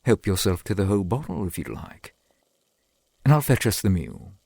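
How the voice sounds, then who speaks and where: A man acts out a centuries-old vampire boyfriend for an ASMR roleplay, doting and formal. His low voice is husky and breathy, with unhurried, lilting phrases that swing widely in pitch. He speaks with old-world courtesy. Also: sing-song, dry recording, heavily acted. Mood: proud, hospitable. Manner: formal, archaic, gently attentive phrasing.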